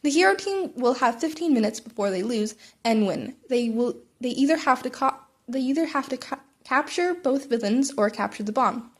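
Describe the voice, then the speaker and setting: A confident male hero teacher , put on by a woman narrator, high in pitch for the part. He announces instructions to students in an encouraging way, as in a storybook reading. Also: expressive, moderate pace, few pauses. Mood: instructing.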